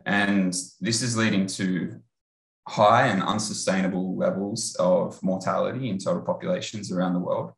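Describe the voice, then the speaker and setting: A man in his thirties with an Australian accent, giving a relaxed online research talk over a video call. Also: deep voice, vocal fry, roomy sound, rough recording. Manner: relaxed, conversational, with filler pauses. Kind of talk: online lecture presentation. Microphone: earbud headset on video call.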